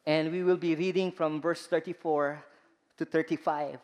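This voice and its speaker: Filipino-accented male preacher, high voice, deliberate pace, big reverberant church. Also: steady tone, a little mellow. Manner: slow, emphatic delivery with rising stresses. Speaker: guest preacher. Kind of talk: sermon. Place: large church hall. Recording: long reverb of a church hall.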